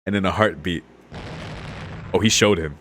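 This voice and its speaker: A man's voice: low voice, moderate pace, a bit bright, slight background noise. A man streaming a let's play, amused and slangy, commenting casually and reading lines aloud.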